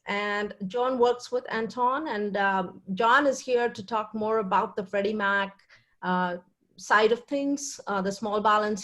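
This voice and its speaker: Woman hosting a webinar, mid-pitched. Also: low-quality recording. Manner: hesitant, frequent fillers. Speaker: webinar host, investor. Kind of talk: introducing guests.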